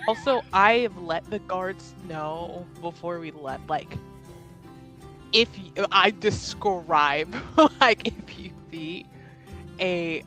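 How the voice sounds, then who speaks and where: A woman's voice: on the low side, slow pace, bright, forceful tone, soft background music. A woman chatting casually and informally as a player in a tabletop roleplaying game, with a giggly manner. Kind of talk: casual game chat with friends.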